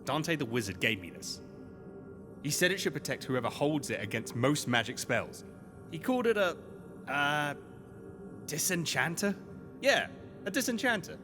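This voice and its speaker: A man's voice: on the high side, sing-song, moderate pace, pauses often. A man playing a loyal, slightly anxious young adventurer's sidekick in an audio drama, earnest and questioning. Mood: earnest, helpful. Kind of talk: scripted audio drama dialogue. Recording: long reverb, music behind.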